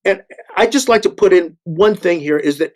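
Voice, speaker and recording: A man on a discussion panel, making his argument haltingly, repeating words and stressing the key ones. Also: sits high. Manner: halting and repetitive, yet emphatic on key words.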